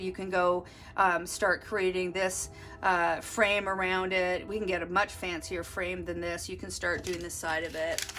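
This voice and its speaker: A woman's voice: fairly low pitch, a bit bright. Woman art teacher talking while drawing. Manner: explains while drawing, thinking aloud. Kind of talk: step-by-step drawing lesson. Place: desk, overhead camera on drawing.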